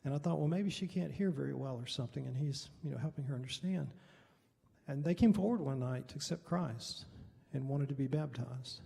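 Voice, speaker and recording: A middle-aged American man, a pastor, telling personal stories in an informal, halting teaching style, often clearing his throat, with a husky, gravelly voice. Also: mid-range voice, warm tone. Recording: long hall reverb.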